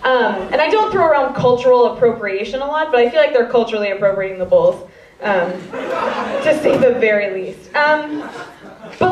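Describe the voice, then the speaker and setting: A woman in her twenties with a Chicago accent doing a stand-up set, rambling and self-deprecating with many fillers. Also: on the high side. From Chicago, US Midwest. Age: 20s. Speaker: stand-up comedian. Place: stage in a hall. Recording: roomy, distant, dull-sounding live recording.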